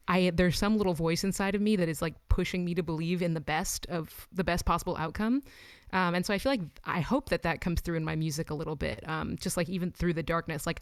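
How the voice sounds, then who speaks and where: Brief: woman in her 20s from Los Angeles, smooth lowish voice, chatty, dry close sound. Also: dry recording. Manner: chatty, frequent "like" and "um", laughs between thoughts. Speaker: musician guest. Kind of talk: casual interview chat.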